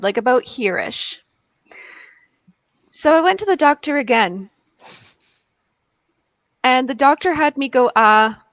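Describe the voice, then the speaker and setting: A woman's voice: high voice, a bit bright, dry recording. A woman casually telling a personal story in a wry, self-deprecating way, acting out bits of dialogue on a video call. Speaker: guest on a charity livestream. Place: indoors at home, on a group video call. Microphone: video call microphone. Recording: dull, close video-call audio.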